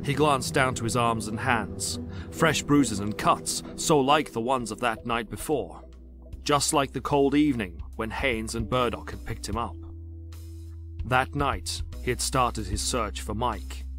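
A man's voice: mid-range voice, measured pace, fairly bright tone, soft background music. A man narrating a scripted audio drama in a dramatic storytelling style.

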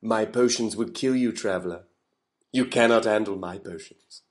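A man's voice: lowish voice, normal speed, natural voice. A man voicing a haughty potion seller in a comic cartoon sketch, pompous and dismissive. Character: haughty, stubborn potion seller refusing a customer.

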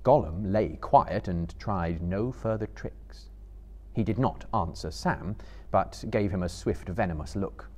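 A man in his thirties reading a novel aloud dramatically, with measured, suspenseful delivery. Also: low voice, a little mellow. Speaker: storyteller. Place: small book-lined stage before a live audience, indoors.

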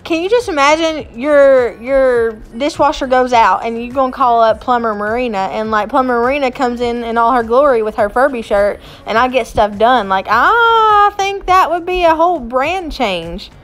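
A woman's voice: sits high, normal speed, hardly any pauses, a bit bright. A woman in her thirties with a Southern US accent, chatting in a self-mocking way in a home vlog voice-over. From Smoky Mountains area, USA. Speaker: vlogger. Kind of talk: casual voice-over chat.

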